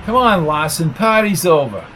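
A man's voice: fairly high pitch, sing-song, moderate pace, heavy background noise. A man voicing a hot-tempered, bullying tough guy in an audiobook, blunt, slangy and snapping. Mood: impatient.

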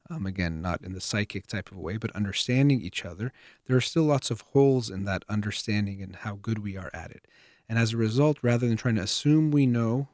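A middle-aged man hosting a call-in psychology radio show, explaining things in a calm, measured way. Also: lowish voice, no echo, clean studio sound. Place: radio studio.

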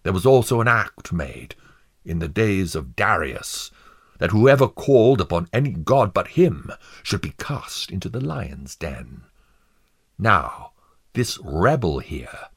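A man's voice: sits low, slightly rough, exaggerated voice. A man playing a stern judge in a story, pompously declaiming a condemnation with great emphasis. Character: stern male judge condemning a rebel prisoner.

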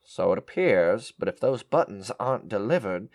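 A man's voice: on the low side, sing-song, moderate pace, darkish tone, no room sound, studio quality. Formal, insistent male police inspector played by an actor. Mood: probing. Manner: formal, pointed questioning. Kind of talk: melodramatic radio play acting.

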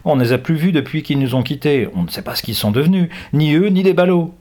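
A man's voice: on the high side, fast talker, darkish tone, pitched above the performer's own voice, lightly acted. A man voicing a bold, stubborn teenage tomboy held captive in a children's adventure story. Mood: cold, defiant.

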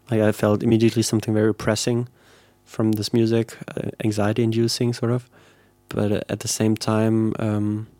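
A low, mellow-toned man talks in a flat, even line as a composer being interviewed. He is thoughtful and measured, searching for his words. The sound is dry and close. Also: no room sound. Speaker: composer guest. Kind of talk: reflective interview answers.

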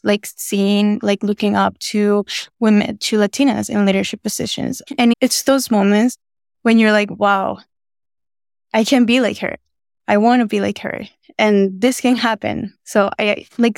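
Young woman with a creaky voice. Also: medium pitch, no room sound, clean studio sound. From Venezuela. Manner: thoughtful, uses many fillers. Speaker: student intern. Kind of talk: casual podcast conversation.

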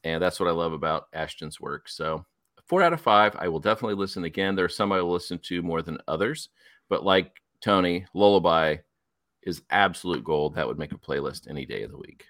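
A man's voice: low voice, lively intonation, a little fast, a bit bright, no echo, clean studio sound. A man hosting a casual music podcast, leading the segments with an upbeat manner. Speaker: podcast host.

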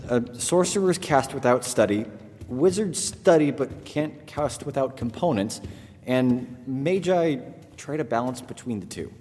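A man playing a deadpan young apprentice. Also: medium pitch, measured pace, slightly rough, in a large hall. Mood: exasperated. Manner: deadpan, sarcastic. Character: young male apprentice, dry and exasperated. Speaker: apprentice character. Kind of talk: fantasy audio drama acting.

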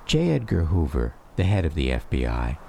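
A man narrating a radio drama as a grown son recalling his father, in measured, reflective first-person storytelling. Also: rumbling low, huge pitch swings, vocal fry, full character voice. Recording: faint noise behind.

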